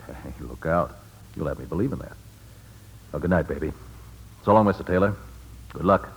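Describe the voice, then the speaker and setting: An actor playing a self-assured, affable and probing nightclub boss in a 1940s radio drama, with a husky voice. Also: male voice, very deep voice, animated, normal speed, exaggerated voice, poor audio.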